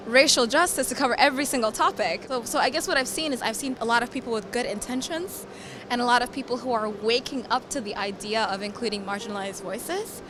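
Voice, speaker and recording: A young woman from Sudan, raised in Philadelphia, answering interview questions about her poetry in an earnest, reflective way, often repeating words as she thinks. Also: on the high side. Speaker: poet and climate refugee advocate. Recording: clear background music under voice.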